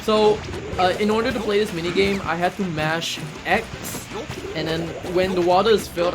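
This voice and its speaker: A man giving casual live commentary on a video game he is playing, breaking into excitable exclamations. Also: a little high. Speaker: speedrunner commentating on own game. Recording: game music behind the voice. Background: video game music.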